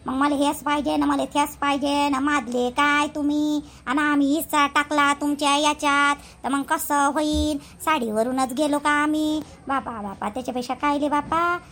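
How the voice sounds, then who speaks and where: A woman's voice: high voice, a bit bright, a little hiss or hum. A woman voicing a chatty, aggrieved village housewife in a cartoon, speaking with a Varhadi Marathi accent from Vidarbha in a plaintive, rambling way. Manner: gossipy, plaintive, repetitive rambling. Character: chatty, aggrieved village housewife complaining about her sister-in-law. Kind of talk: amateur cartoon dialogue in village dialect.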